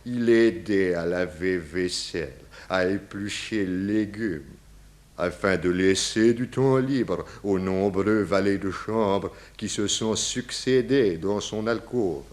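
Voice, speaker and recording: A man's voice: deep voice, a little mellow. An actor playing an eccentric, philosophical Russian émigré with a Russian accent, grandiloquent and cryptic, dropping his articles in a 1960s French radio crime drama. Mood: gossipy, mournful. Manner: slow, grandiloquent, broken grammar with dropped articles.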